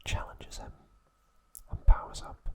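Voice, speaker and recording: Slow whispering man, ASMR style. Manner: hushed, deliberate, in short separated phrases. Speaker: ASMR host. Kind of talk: whispered ASMR trivia narration.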